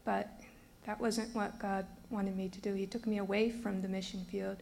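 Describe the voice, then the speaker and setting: A woman's voice: mid-range voice, little pitch movement, moderate pace, gravelly, a little mellow, slight background noise. A woman in her thirties who grew up in Brazil, giving an earnest personal faith testimony to a church group. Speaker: former teacher giving a testimony.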